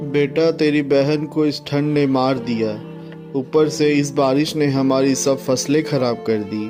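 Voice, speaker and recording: A man's voice: medium pitch, steady tone, measured pace, over music, close to an everyday voice. A man playing a poor, despairing village potter and father in a story, plaintive and humble. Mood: sorrowful.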